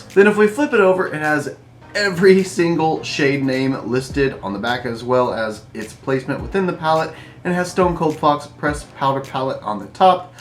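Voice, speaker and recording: Congested, nasal man vlogging a review. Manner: chatty and expressive, lively jumps in pitch; slow, careful listing. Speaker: beauty vlogger reviewing makeup. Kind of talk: upbeat product review vlog.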